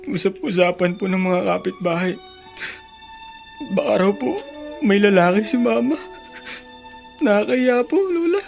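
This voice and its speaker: A creaky, plaintive voice plays a hurt, ashamed grandson in a radio drama. Soft music sits behind the sorrowful delivery. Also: mid-range voice, expressive, a little slow, long pauses. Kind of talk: Filipino radio drama acting.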